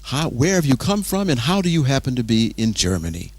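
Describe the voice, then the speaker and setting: A man hosting a television interview in a polite, formal and measured manner. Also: mid-range voice, sing-song, slight background noise. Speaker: television programme host and interviewer.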